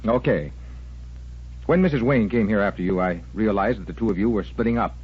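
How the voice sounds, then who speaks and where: An earnest troubled pal in an old radio soap, lowish husky voice, muffled recording. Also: male voice. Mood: resentful. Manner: blunt, halting, self-deprecating. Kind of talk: 1940s radio soap acting.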